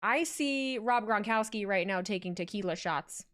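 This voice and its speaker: A woman's voice: mid-range voice, moderate pace, a bit bright. Joking woman streamer reading chat. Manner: laid-back, joking, reads chat aloud. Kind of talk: casual watch-along chat.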